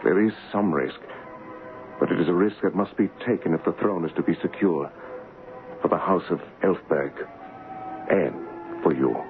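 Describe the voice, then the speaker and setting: A man's voice: deep voice, moderate pace, pauses often. An actor playing a brave, courtly adventurer who poses as a king, composed and earnest in a radio drama. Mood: guarded, grave. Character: brave gallant adventurer posing as a king, devoted lover. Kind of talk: radio drama acting and first-person narration. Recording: dull 1970s radio broadcast, music behind.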